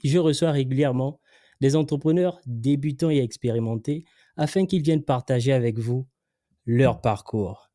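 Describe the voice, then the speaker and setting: A man hosts a podcast interview, greeting listeners and the guest in an encouraging, friendly way. His voice is mellow and dark, at an ordinary pitch. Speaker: podcast host.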